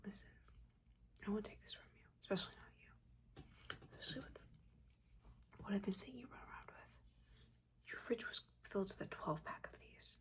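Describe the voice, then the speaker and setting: A woman voicing a judgmental but caring witch mentor in a breathy, chatty, chiding whisper, in a soft-spoken ASMR roleplay. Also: mid-range voice, moderate pace, long pauses, close to an everyday voice. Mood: defensive, scolding. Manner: chatty, chiding, casual slangy asides.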